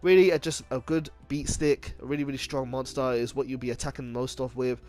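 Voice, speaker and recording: Man, mid-pitched voice, unscripted game commentary, halting and informal, self-correcting, soft music behind. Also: fairly even intonation. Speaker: video game deck profile host.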